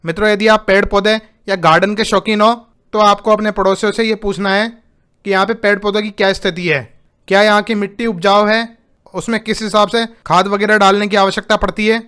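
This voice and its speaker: A man with a high, crisp voice gives practical advice on a home-building channel despite a sore throat. He explains steadily and instructively, calling his viewers friends. The tone stays bright and clear, with some background noise behind him. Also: fairly even intonation, brisk. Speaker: YouTube host giving home-building tips.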